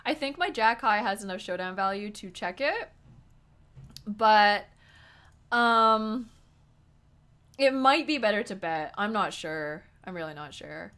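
A young woman in her twenties talks to her stream chat while playing online poker. She is excitable and giggly, and her voice is going hoarse. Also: medium pitch, unhurried, long pauses. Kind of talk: live-stream commentary to chat. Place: small room indoors at a desk.

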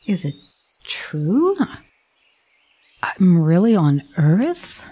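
A woman's voice: fairly low pitch, sing-song, very slow, mellow, full character voice. Woman acting a dramatic, wildly swooping abandoned woman. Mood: awed. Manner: dramatic, accusing. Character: bitter abandoned woman confronting the man who stranded her.

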